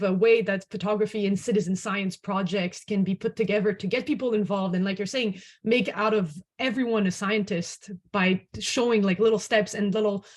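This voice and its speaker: A woman hosting an online panel, responding to speakers and introducing the next guest. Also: medium pitch. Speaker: host and moderator.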